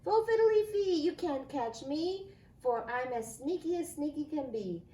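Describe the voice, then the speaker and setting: A mischievous little leprechaun man from a storybook, acted out by a woman, pitched very high. He taunts in a giggly sing-song, crafty and gleeful. The recording is echoey, with some background noise. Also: expressive, measured pace, mellow. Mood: sneaky, taunting.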